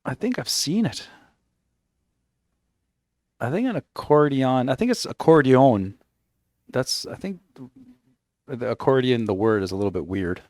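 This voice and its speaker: A man's voice: mid-range voice, lively intonation, measured pace, pauses often, a little mellow, no echo, studio quality. Rambling man chatting on a game stream. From Canada. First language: Italian. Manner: rambling, self-correcting storyteller. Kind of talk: casual chat while gaming.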